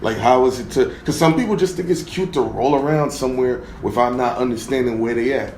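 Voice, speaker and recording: A man's voice: mid-range voice, a little fast, darkish tone. Man, interview host, easygoing, filler-heavy questions, roomy studio, faint hum.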